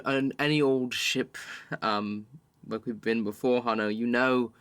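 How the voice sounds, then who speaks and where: A man voicing a cautious, steady female ship captain in a tabletop game, measured and earnest in manner. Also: mid-range voice, no room sound, natural voice.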